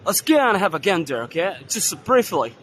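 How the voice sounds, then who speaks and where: A hoarse man walking through an indoor trade fair hall, giving casual commentary on the stands with many fillers and pauses. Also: high-pitched, very animated, a bit bright, a little hiss or hum. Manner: unhurried, halting, many fillers. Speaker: vlogger touring a trade fair.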